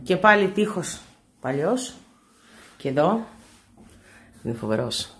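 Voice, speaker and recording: Lively low-voiced woman filming a tour. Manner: short exclamations and remarks. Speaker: mother filming a family travel vlog. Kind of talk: casual chat, room-tour commentary. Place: small stone-walled holiday apartment. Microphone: behind a handheld camera. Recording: faint background noise.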